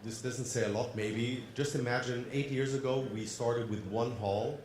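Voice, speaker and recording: A man's voice: medium pitch, steady tone, normal speed, darkish tone, roomy sound. A man from Germany with a slight German-tinged accent in his English, giving an upbeat conference presentation and putting questions to the audience. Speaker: conference speaker. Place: conference hall.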